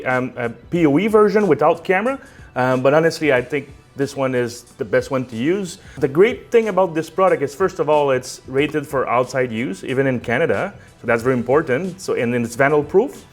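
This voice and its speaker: Man, tech support lead doing a relaxed hardware walkthrough; talks in a somewhat high register, loose and conversational, peppered with "um" and "okay?". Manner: conversational, ends points with a checking "okay?"; fillers "um" and "uh" between phrases. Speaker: technical support director presenting a product. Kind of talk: casual product demonstration.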